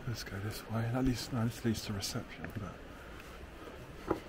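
A middle-aged man exploring an abandoned sports centre, talking quietly to himself as he films. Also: on the low side, measured pace. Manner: hushed, muttering to himself. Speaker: urban explorer. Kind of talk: casual exploring commentary. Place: large derelict sports hall, indoors. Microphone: handheld camera on the move. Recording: noisy handheld camera recording.

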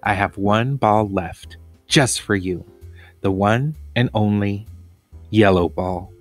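A man's voice: on the low side, slow pace, faint music behind. A man voicing an easygoing male school yard teacher in a storybook, with a cajoling manner.

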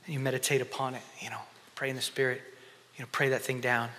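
Man in his thirties preaching from a church stage with a headset mic, bright voice, passionate, drops to whispers, hall reverb. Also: medium pitch, level tone, quick pace. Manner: impassioned, shifts between loud emphasis and hushed whispered prayer. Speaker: conference speaker. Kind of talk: sermon. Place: church stage before an audience.

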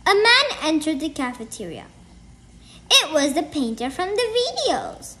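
A woman's voice: high voice, sing-song, unhurried, pushed and bright. A woman reading a children's storybook aloud in a careful, word-by-word way. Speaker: storybook reader. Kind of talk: children's book read-aloud.

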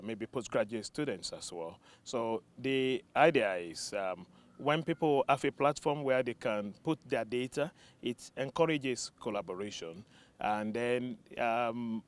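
Middle-aged Nigerian man explaining calmly. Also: medium pitch. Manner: measured, explanatory, many ums. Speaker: researcher guest. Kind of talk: interview answer. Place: sunny outdoor campus. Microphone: handheld mic.

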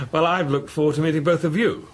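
An actor playing an uneasy, apologetic priest in a radio drama, a hesitant middle-aged man haunted by dread. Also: a little high. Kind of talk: radio drama acting with first-person narration. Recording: clear background ambient noise.

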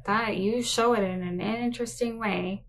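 A woman's voice: on the high side, steady tone, normal speed, a bit bright, low-quality recording. Sarcastic, blunt woman. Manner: sarcastic, blunt, sweary, mocking. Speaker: host of a reaction commentary show. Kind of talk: casual, sarcastic reaction commentary. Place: small home office or craft room.

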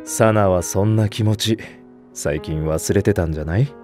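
An actor playing a calm, mature male head teacher who talks in a measured, mildly teasing way. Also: low voice, music in the background, natural voice. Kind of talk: anime voice drama acting.

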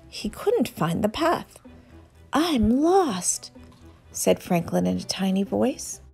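A woman narrating a children's storybook aloud in a measured, expressive way. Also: medium pitch, husky. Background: soft music.

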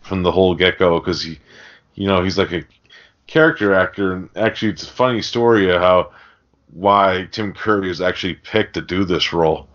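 A low-voiced man talks casually as a podcast host. He rambles and swears freely, and the recording is dull and lo-fi. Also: normal speed, a bit bright. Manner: rambling, profane. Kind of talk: casual film discussion. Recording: dull, lo-fi audio.

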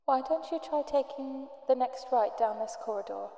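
A woman voicing a calm, controlling female guide who speaks in measured, quiet instructions through an echoing loudspeaker in an audio story. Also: sits high, creaky, pitched above the performer's own voice. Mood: calm, insistent. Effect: echoing loudspeaker reverb. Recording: echoey, long hall-like reverb.

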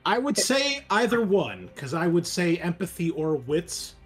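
A man's voice: high voice, big pitch swings, unhurried, husky, faint music behind. A man hosting as game master, talking casually through a tabletop roleplaying game. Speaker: game master and channel host.

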